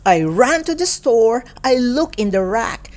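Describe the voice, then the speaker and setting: A woman reading a picture book aloud for young children in a deliberate, playful way, in short separated phrases. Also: a little high, big pitch swings, a little mellow. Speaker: children's storybook reader.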